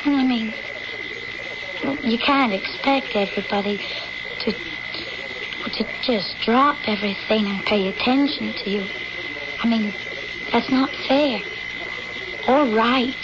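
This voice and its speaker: An actress playing a pained, guarded young daughter who suffers from headaches, in an old radio drama, with a questioning manner. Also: female voice, fairly high pitch, slow, long pauses. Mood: questioning, defensive. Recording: dull, heavily noisy old radio recording.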